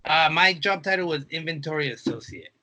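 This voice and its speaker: A man's voice: a little high, animated, fairly quick, pushed and bright, slight background noise. A man in his twenties giving a casual interview, telling stories informally and quoting his own thoughts. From United States, raised there from age three after birth in Mexico. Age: 20s. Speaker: interview guest, reseller. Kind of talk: casual chat.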